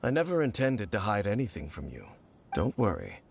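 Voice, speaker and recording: An actor playing a grave, brooding ancient warrior in a video game, speaking in a low, measured, solemn way. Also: male voice, wildly swooping pitch, gravelly, a little hiss or hum, heavily acted. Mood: calm solemn. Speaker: game character. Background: faint game music.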